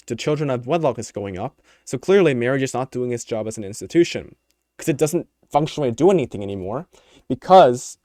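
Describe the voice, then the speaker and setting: Young male podcaster, mid-pitch, rambling and opinionated, slightly worn voice, dead-dry recording. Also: a little mellow, no room sound. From United States. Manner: rambling, opinionated, many fillers and restarts. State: voice tiring. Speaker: podcast host. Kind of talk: unscripted solo commentary.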